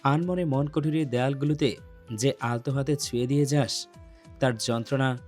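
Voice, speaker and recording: A man's voice: mid-range voice, steady tone, moderate pace, darkish tone, faint music behind, lightly acted. A man playing a brooding young lover, coolly intense. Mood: tender, aching. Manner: cool, restrained, intense. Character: Ron, brooding protective young man in love. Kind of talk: Bengali audio love story acting.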